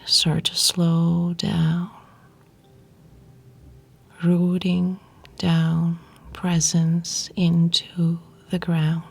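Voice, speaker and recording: A woman guiding a yoga nidra meditation in a breathy, whispery, husky voice, soothing and unhurried, with pauses between short phrases. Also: on the low side, completely flat delivery, a little mellow. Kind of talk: guided meditation narration.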